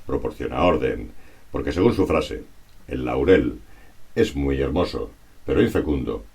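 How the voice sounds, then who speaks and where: A man from reads a scripted historical narration in a formal, measured, bookish manner. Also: extremely low pitch, expressive, gravelly, a little mellow, husky.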